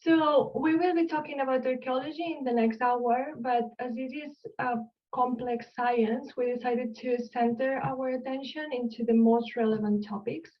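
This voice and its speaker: Spanish-accented woman, friendly explainer on a video call, slightly high voice, dull audio from a distance. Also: fairly even intonation, moderate pace. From Spain, Barcelona. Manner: friendly, explanatory, asks the audience questions. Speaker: archaeologist giving an online lecture. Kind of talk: online lecture.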